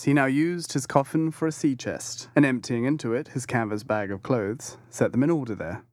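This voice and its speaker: A man reads classic literature as a dramatic narrator. His slightly high voice moves through a wide, lively range, recorded close and dry with no room sound. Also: dry recording. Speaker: audiobook narrator.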